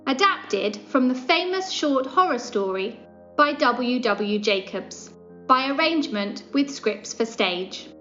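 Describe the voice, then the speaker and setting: A woman's voice: high-pitched, lively intonation, takes it slowly, pushed and bright. A woman narrator reading a scripted introduction to a radio play. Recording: dull lo-fi sound with music.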